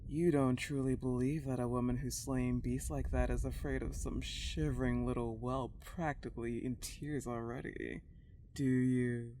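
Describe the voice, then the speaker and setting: A woman playing a dark, possessive older vampire countess in an intimate ASMR roleplay, teasing and condescending as she lingers on her words. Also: a bass voice, steady tone, normal speed, slight background noise. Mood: haughty, amused.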